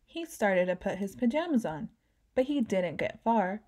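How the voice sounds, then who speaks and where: Female storyteller for a kids' read-aloud; gentle narration, lively character voices, mellow tone. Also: medium pitch, normal speed. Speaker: children's book reader.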